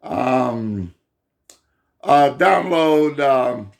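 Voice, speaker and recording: A hoarse man giving an unscripted explanatory monologue in a halting way, often pausing to check in with his listener. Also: medium pitch, lively intonation. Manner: halting, keeps checking in with "okay?". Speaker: self-published video explainer.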